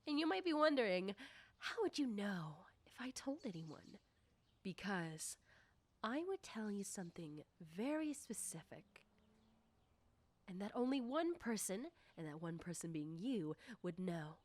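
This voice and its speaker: A woman playing a nosy, teasing, self-assured popular high school girl in a soft ASMR roleplay, coy and deliberate with whispery dips. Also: sing-song. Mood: secretive, assured. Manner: coy, probing, deliberate, often dropping into whispers. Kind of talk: ASMR roleplay, soft personal-attention talk.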